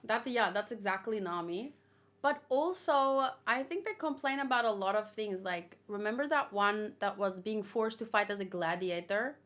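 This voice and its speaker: A woman's voice: mid-range voice, normal speed, fairly bright tone. A woman giving informal, opinionated commentary as she hosts a casual live read-along and talks with chat. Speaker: manga read-along host.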